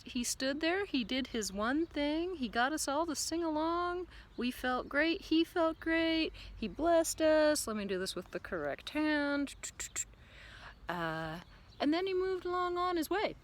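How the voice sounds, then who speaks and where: A woman's voice: sits high, big pitch swings, slow. A woman vlogging a reflective, earnest monologue to camera, musing aloud with lots of fillers. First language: not German. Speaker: vlogger and business coach.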